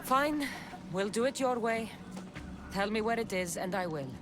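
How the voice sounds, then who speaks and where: An actress playing a blunt, wry, pragmatic warrior heroine of ancient Greece in a video game drama. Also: female voice, medium pitch, expressive, a little slow, close to an everyday voice. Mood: grim. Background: music.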